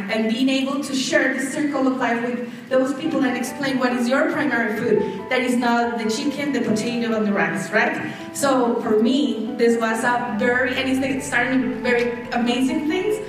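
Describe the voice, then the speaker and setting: A woman's voice: fairly high pitch, moderate pace, music in the background. Woman with a Colombian accent, enthusiastic speaker, mic'd in a very reverberant hall. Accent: Colombian Spanish. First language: Spanish. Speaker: health coach graduate. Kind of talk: audience testimonial. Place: large hotel conference room. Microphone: handheld microphone. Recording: echoey room from far off.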